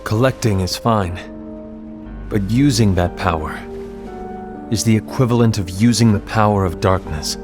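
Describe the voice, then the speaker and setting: A man's voice: fairly low pitch, over music, lightly acted. A man playing a grave, suspicious fantasy leader. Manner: grave, measured and reasoning. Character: serious, suspicious male leader of a fantasy union. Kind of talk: video game cutscene acting.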